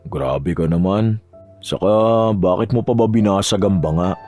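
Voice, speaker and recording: A man's voice: low-pitched, measured pace, a little mellow, soft background music. Cocky, teasing young man acted by a man. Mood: amused, teasing. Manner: teasing, sweet-talking. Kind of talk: Tagalog romance audio drama acting.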